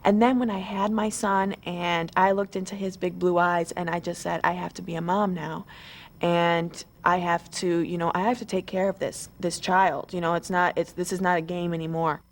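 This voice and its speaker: A woman's voice: fairly low pitch, level tone, moderate pace. A twenty-year-old woman giving an eager, chatty pre-show interview, full of "you know" and restarts. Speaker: model contestant.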